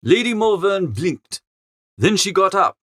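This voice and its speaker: A man reads a comic first-person story aloud with lively swings in a fairly high voice. The recording is close and dry, in audiobook style. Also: measured pace, no echo. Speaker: audiobook narrator.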